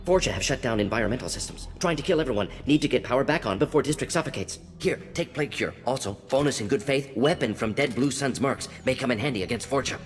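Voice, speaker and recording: An actor playing a male alien scientist who talks in quick clipped fragments and drops his articles. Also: mid-range voice, animated, faint music behind, natural voice. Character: fast-talking male alien scientist, twitchy. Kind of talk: video game voice acting.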